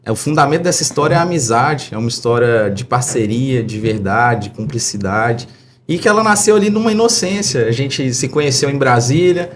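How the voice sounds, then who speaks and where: A man's voice: mid-range voice, lively intonation, brisk, slight background noise. A man of about forty with a Brazilian accent from Minas Gerais, telling stories casually and effusively as an interview guest. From Belo Horizonte, Brazil. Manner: effusive, anecdotal, frequent tag questions. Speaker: podcast guest, tech entrepreneur.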